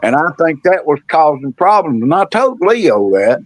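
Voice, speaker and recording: Man, livestream guest, slightly high pitch, dry close sound, casual personal storytelling. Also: very animated, normal speed, dry recording.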